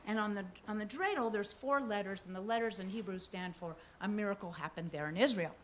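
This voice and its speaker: A woman's voice: medium pitch, brisk, a little hiss or hum. An older woman on a theater stage, chatting through an introduction to a song in a musing, slightly nervous way at a stand mic. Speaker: musician and storyteller. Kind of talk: casual spoken introduction on stage. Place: theater stage with live audience.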